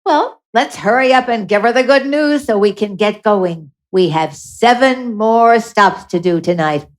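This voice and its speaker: A woman's voice: on the high side, measured pace, lightly acted. A woman playing a bossy, exasperated little angel in a radio play, grumbling with sarcastic exclamations. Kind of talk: live radio play acting.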